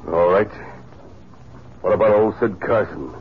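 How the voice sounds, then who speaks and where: An actor playing a sly, menacing cafe owner and outlaw in a 1950s radio western, talking tough and slangy. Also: male voice, low voice, measured pace. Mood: sly, probing. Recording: muffled, noisy old radio recording.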